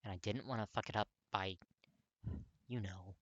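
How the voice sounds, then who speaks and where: A man voicing a gruff, hot-tempered young man awkwardly confessing his feelings in an audiobook, blunt, halting and strained. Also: fairly low pitch, steady tone, a bit bright, no echo, lo-fi sound. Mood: hesitant confession. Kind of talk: dramatic audiobook character reading.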